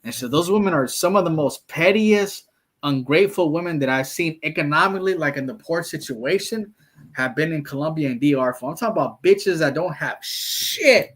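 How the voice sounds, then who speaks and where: A man's voice: fairly high pitch, animated, normal speed. A man from the United States with a Mexican-American accent, talking bluntly and profanely in a casual streamed chat, full of slang. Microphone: streaming call mic.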